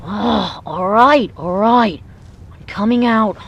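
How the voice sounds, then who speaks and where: A woman's voice: medium pitch, slow pace, vocal fry, a little hiss or hum. A woman voicing an eager, stubborn young boy in a video game, with muffled sound. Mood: reluctant.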